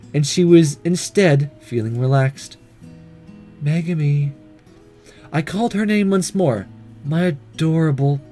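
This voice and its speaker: Visual novel role: gentle ghost schoolgirl in love male reader, slightly high, tender and apologetic, faint music behind. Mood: tender, calm. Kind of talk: visual novel inner monologue read aloud.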